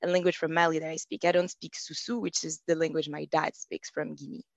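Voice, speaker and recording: A woman's voice: low-pitched, flat delivery, fairly quick, creaky, no room sound. A woman in her twenties chatting casually as an interview guest, laughing often. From Germany. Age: 20s. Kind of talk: casual chat.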